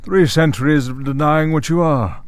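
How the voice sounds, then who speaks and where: A man's voice: fairly high pitch, moderate pace, slight background noise, natural voice. A man playing a suave villain mastermind in an audio roleplay, taunting with courteous, theatrical menace.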